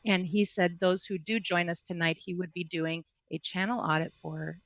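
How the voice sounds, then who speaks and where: A woman's voice: on the low side, steady tone, moderate pace, no room sound, muffled recording. Upbeat woman co-host, dry close sound. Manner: upbeat, teasing, reads out viewer questions. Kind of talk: casual online show chat.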